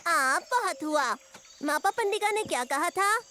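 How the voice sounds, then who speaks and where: A woman's voice: extremely high pitch, lively intonation, unhurried, slight background noise, full character voice. A woman voicing a brave, determined young male lion cub in a dubbed children's cartoon, urging and encouraging his friend. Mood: decisive. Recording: loud music behind.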